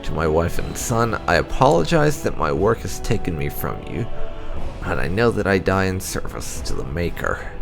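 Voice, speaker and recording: A man with a very low voice reads written game lore aloud like a storyteller. His delivery is measured but rises and falls expressively, with a gravelly creak throughout. Music plays faintly behind him. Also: sing-song. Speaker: gaming video host. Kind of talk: reading game lore notes aloud, narration.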